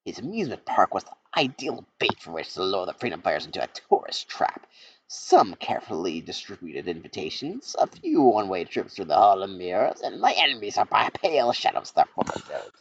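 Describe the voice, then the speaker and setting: A man's voice: deep voice, huge pitch swings, fairly quick, creaky, a little hiss or hum, exaggerated voice. A man voicing a pompous, scheming male mad-scientist villain, in a dull lo-fi recording. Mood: gloating. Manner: pompous, gloating.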